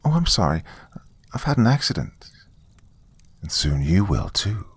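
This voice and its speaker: A man's voice: sits low, very animated, a little slow, breathy edge, full character voice. A man voicing a menacing female desert spirit in a horror story, falsely apologetic and taunting. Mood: eerie taunting.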